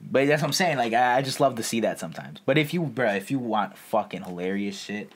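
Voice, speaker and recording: A man's voice: on the low side, expressive, a little fast, husky. A man co-hosting a podcast, chatting casually with plenty of bro slang and swearing. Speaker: podcast co-host. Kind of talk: casual chat.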